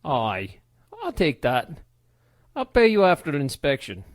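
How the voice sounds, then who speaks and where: A man voicing a big, boisterous old Scottish man with a booming, hearty Scottish accent. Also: huge pitch swings, a little slow, no echo, studio quality.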